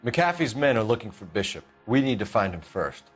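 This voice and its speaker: An actor playing a sober police detective, controlled and probing, in a video game cutscene. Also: male voice, on the low side, big pitch swings, brisk, slightly rough, faint music behind.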